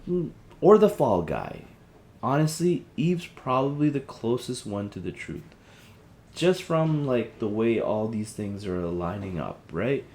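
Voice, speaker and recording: A man playing an agitated, conspiracy-minded husband in an audio drama, rambling, halting and searching for words. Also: medium pitch, sing-song, a little mellow. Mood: insistent, suspicious. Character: agitated conspiracy-minded husband piecing together a dystopian plot. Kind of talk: audio drama, conversational dialogue.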